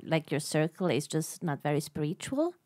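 A woman's voice: low voice, big pitch swings, normal speed, darkish tone, dry recording, clean studio sound. Encouraging young woman podcast host. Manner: encouraging, affirming interviewer. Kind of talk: casual podcast interview. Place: small dark podcast studio. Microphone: studio microphone with headphones.

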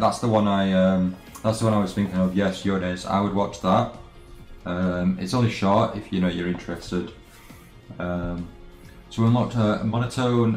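A man streaming a video game, chatting casually and haltingly with viewers as he plays, often trailing off and restarting. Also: lowish voice, a little mellow. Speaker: let's play streamer. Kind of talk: casual live game commentary and chat with viewers. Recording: roomy echo, game music behind. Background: video game music.

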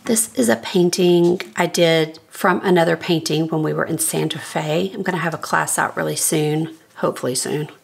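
A woman's voice: low-pitched, normal speed, creaky, hardly any pauses, husky. A middle-aged woman with a scratchy voice, casually talking through her sketchbook pages while flipping them in her studio. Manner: relaxed, thinking aloud while flipping pages. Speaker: artist giving a sketchbook tour. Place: home art studio, indoors. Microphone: overhead camera, speaker off-frame.

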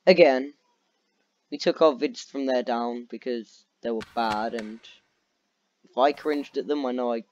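A man giving casual gameplay commentary as a video host, sounding tired and low on energy with a dry, sarcastic manner. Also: a little slow, pauses often, dull audio. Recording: steady background noise with clicks.

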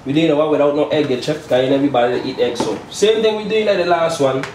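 A man's voice: fairly high pitch, fast talker, darkish tone, slight background noise. A man, chatting playfully as he demonstrates a home cooking recipe. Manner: playful and chatty, tags lines with "you check?". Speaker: home cook host. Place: small home kitchen indoors. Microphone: camera mic at a distance. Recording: roomy kitchen from far off.

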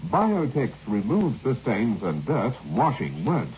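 A muffled old radio recording with some noise frames a male commercial announcer. He pitches in emphatic, clipped phrases, his intonation rising and falling in a lively way. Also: takes it slowly. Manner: emphatic, clipped list-like selling. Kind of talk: vintage radio advertising read.

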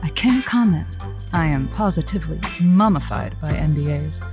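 A woman's voice: low voice, lively intonation, moderate pace, music in the background. A woman playing a witty, teasing late-night radio host in an audio drama. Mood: sultry. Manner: witty, playful, sultry on air. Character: Josie, sultry radio host, also playing Joanne. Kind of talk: semi-scripted audio drama.

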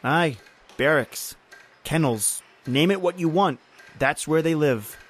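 A man's voice: fairly high pitch, animated, slow, slightly rough, full character voice. A man playing a grim, bitter factory overseer with folksy speech in an old-time style radio horror drama. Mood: bitter, taunting. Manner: folksy, bitter, uses "aye" and "ain't". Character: grim, taunting male factory overseer on an island. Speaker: actor in audio horror drama.

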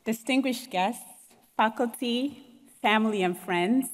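A woman giving a heartfelt, expressive graduation speech at a podium in a large hall. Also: on the high side, unhurried. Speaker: graduating student speaker. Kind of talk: commencement speech. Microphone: podium mics. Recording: long hall reverb.

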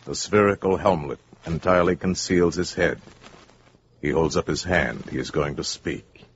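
Man acting a deep, ominous American radio narrator. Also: normal speed, a little hiss or hum, natural voice. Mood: hushed, ominous. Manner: portentous, dramatic. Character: grave, ominous male radio-play narrator. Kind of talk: 1950s radio drama narration. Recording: muffled 1950s radio, loud music behind.

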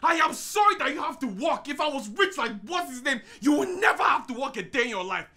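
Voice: mid-range voice, lively intonation, fairly quick, sharp tone. An actor playing a proud, defensive, bitter husband in a screen drama, with a sarcastic, self-justifying way of talking. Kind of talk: naturalistic screen drama acting.

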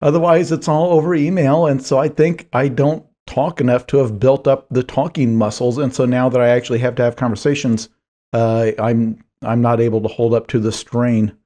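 A hoarse man in his forties, a guest author, chatting in a rambling, self-deprecating way on a video call from a small home office. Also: mid-range voice, flat delivery, moderate pace, dark tone. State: hoarse, strained voice. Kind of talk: video call podcast chat. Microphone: headphones, desk mic on video call.

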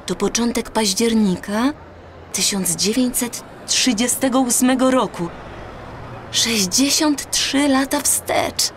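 Actress as tender, wondering young woman, higher voice. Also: a little hiss or hum. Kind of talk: Polish audio drama dialogue.

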